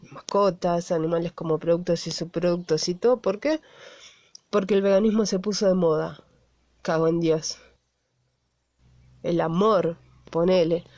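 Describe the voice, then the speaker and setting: A woman's voice: on the low side, dark tone, no room sound, lightly acted. A woman with an Argentine accent voicing an indignant activist in a conversational monologue, blunt and full of rhetorical questions. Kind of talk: conversational radio theatre monologue.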